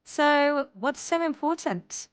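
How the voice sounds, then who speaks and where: A high-voiced teasing young woman. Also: animated, slow pace, no echo.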